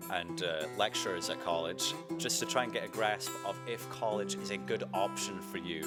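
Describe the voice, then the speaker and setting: A young man narrates a student documentary in an earnest, reflective tone. His voice sits fairly low. Plucked guitar music plays clearly underneath. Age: young adult. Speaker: student documentary maker and interviewer. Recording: voice-over with guitar music behind.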